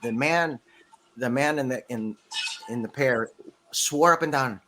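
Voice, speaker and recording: A man hosting a casual, joking podcast conversation, telling stories in an animated way and acting out what people said. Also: mid-range voice, unhurried, a little hiss or hum. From California. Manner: animated storytelling, acting out quoted remarks. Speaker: podcast host and stand-up comedian.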